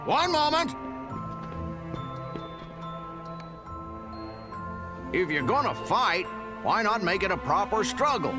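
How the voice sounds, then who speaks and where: An actor playing a flamboyant, eager fanboy in a video game cutscene, with a theatrical, gushing manner. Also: male voice, high-pitched, big pitch swings, normal speed. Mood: provoking. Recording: music behind the voice.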